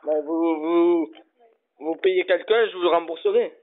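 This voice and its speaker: Pushy prank caller on a phone line. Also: male voice, fairly high pitch. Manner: stubborn, halting, absurd demands.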